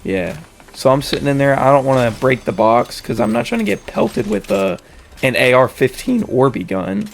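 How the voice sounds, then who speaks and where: A young man tells a casual story in a creaky, fry-laden voice, slightly hoarse from a head cold. His talk is slangy and full of swearing. There is a faint wash of noise behind him. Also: medium pitch, very animated, normal speed. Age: young adult. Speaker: storytelling host.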